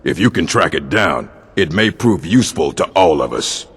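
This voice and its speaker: An actor playing a gruff older male orc librarian in a video game, dry, weary and curt, with a gravelly, husky voice. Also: sits low, very animated, moderate pace, full character voice. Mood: matter-of-fact. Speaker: game character. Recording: long hall reverb.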